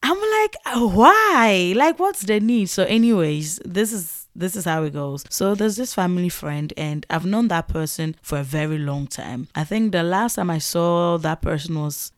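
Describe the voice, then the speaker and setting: A young woman from Ghana with a Ghanaian English accent, hosting a podcast and telling a story in a chatty, animated way with frequent laughs. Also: on the low side, a little slow, few pauses, dry recording, clean studio sound. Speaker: podcast host. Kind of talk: casual storytelling monologue.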